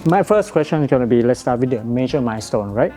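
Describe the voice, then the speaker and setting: A man working as a field host, eager and formal; his first language is Thai and he asks questions in halting English. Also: mid-range voice, lively intonation. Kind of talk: host interview. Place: watch boutique in a mall. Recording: music playing behind.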